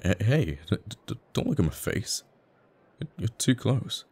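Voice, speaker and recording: A man playing a flirty, tender fighter pilot in a romantic ASMR roleplay, stammering bashfully and haltingly. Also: on the low side, big pitch swings, gravelly, darkish tone, heavily acted. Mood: flustered, curious.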